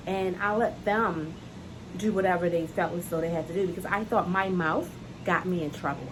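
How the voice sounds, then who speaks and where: A woman's voice: fairly low pitch, noisy background. A woman speaking as a self-love coach, giving an earnest, encouraging talk to camera and repeating her key phrases. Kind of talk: heartfelt motivational talk to camera. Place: outdoors on a hot day.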